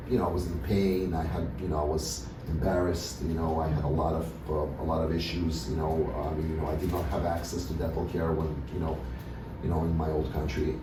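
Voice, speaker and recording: Very deep-voiced man from Russia, hesitant. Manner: hesitant, many fillers and restarts. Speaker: dentist, interview guest. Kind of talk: casual interview answers. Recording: echoey room, mic at a distance, background noise.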